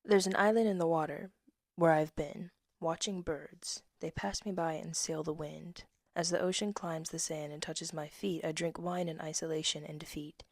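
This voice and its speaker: Soft low-voiced young woman reading poems. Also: steady tone, normal speed, no echo. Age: 20s. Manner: soft-spoken, drifts into whispers, laughs at herself. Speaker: poet reading her own work. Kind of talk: casual reading of old poems with asides.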